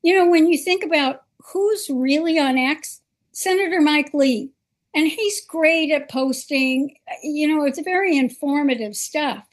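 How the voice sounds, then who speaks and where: A woman hosting a political talk show, speaking earnestly and with emphasis. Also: sits high. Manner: earnest, emphatic, slow and deliberate.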